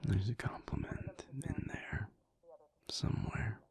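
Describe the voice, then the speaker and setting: A man playing a tired, tender alpha werewolf boyfriend in an intimate roleplay audio, murmuring drowsily in a breathy, gravelly near-whisper. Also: darkish tone, natural voice. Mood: relaxed, contented. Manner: slow, drowsy, murmuring with long pauses. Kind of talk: intimate roleplay audio acting, addressed to listener.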